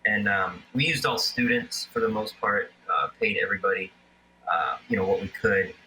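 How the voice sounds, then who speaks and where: A man's voice: lowish voice, moderate pace, bright, forceful tone, a little hiss or hum, poor audio. A man, an independent filmmaker, talking casually about his film with many fillers and restarts. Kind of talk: casual interview chat.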